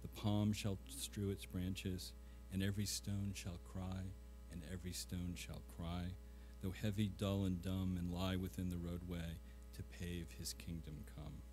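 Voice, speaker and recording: Middle-aged man, low voice, guest poetry reader, live event, faint music behind. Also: little pitch movement, a little slow, a little mellow. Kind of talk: live remarks and measured poetry recitation.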